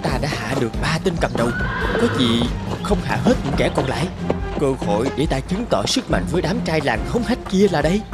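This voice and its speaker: A man voicing a poor young tribesman in a cartoon fable, earnest, eager and expressive. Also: fairly high pitch, fairly quick, over loud music. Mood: proudly defiant. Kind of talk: animated fable voice acting.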